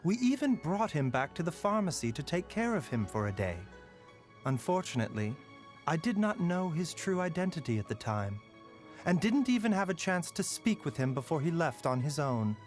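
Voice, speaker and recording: A man playing a gentle, courteous pharmacist doctor in a game, speaking in a soft, measured and politely wry manner. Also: on the high side, big pitch swings, soft background music. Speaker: game character voice lines. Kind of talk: scripted game voice lines.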